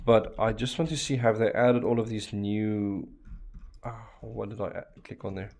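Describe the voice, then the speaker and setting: A man's voice: lowish voice, normal speed. Chatty Afrikaans-speaking man streaming. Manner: relaxed, chatty, many fillers and restarts. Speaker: streamer teaching networking. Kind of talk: casual live-stream chat while working a lab.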